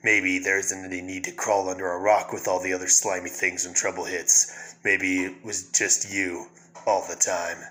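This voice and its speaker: A man's voice: deep voice, level tone, normal speed, pushed and bright. A man voicing a grim, weary masked vigilante in a stern, restrained way, as a fan reading of a comic. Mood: stern, defiant.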